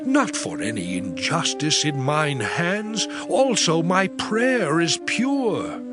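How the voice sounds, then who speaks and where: An actor playing an anguished, suffering man who laments bitterly in a slow, impassioned, theatrical dramatized Bible reading. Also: fairly high pitch, big pitch swings. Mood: pleading, sorrowful. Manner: slow, drawn-out, impassioned declaiming. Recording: loud background music. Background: music.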